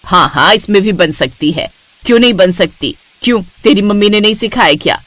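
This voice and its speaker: A woman voicing a bossy, sharp-tongued middle-aged mother-in-law who scolds and demands, in a cartoon story. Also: medium pitch, animated, fairly quick, no echo. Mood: scolding.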